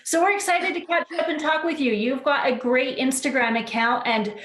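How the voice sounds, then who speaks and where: A woman's voice: sits high, slight background noise. Upbeat woman interviewer, echoey room. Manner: upbeat, warm questions, quick laughs. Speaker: podcast co-host interviewer. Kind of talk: casual interview chat.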